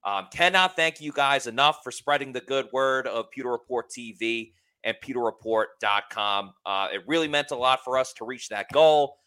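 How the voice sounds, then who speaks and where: A man's voice: mid-range voice, normal speed, hardly any pauses, sharp tone, clear, resonant tone. A man hosting a sports podcast, making friendly casual announcements with filler words. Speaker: podcast host.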